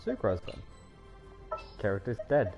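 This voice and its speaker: Low-voiced man commentating a game, music behind. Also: wildly swooping pitch, unhurried, creaky, dull audio. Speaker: game livestreamer. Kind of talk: casual gaming commentary.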